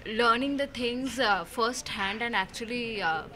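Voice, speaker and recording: A woman student speaks earnestly in a short interview soundbite, pausing now and then with fillers. Her voice is bright and a little tense, with faint ambience behind. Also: on the high side, slight background noise.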